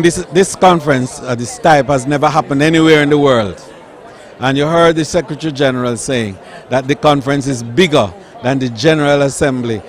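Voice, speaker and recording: A man, a tourism official speaking emphatically at an outdoor event. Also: on the high side. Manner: emphatic, listing achievements with rising stress. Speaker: tourism official giving a speech. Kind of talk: formal public speech to the press.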